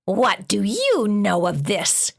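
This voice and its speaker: A woman voicing a stern, scratchy-voiced female monster in a storybook, speaking slowly with cold menace. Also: medium pitch, huge pitch swings, vocal fry, pitched above the performer's own voice, heavily acted. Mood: menacing.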